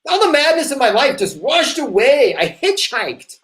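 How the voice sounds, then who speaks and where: Man acting an earnest, excitable spiritual seeker, swooping pitch. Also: moderate pace, heavily acted. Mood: wistful, earnest. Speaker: actor in a play reading. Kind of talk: live reading of a one-act stage play.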